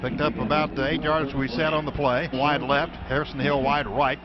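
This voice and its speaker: An American man calling football play-by-play on the radio in clipped running descriptions that get excited on big plays. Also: a little high, moderate pace. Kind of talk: live American football commentary. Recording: dull, noisy radio broadcast from stadium.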